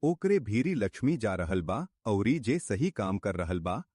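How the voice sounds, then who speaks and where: A man's voice: fairly low pitch, very animated, unhurried, dry recording, clean studio sound. A man playing a poor, honest, devout street food vendor in a cartoon moral story, speaking earnestly with a Bhojpuri accent. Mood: pleading prayer. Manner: earnest, pleading, principled. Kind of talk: animated moral story dialogue. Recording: loud music bed behind voice.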